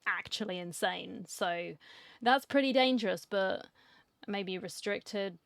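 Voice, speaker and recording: A woman's voice: mid-range voice, slow, creaky, clean studio sound. Female gaming streamer, casual musing commentary, medium register, intimate echo-free mic. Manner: musing, chatty. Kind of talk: casual playthrough reaction commentary.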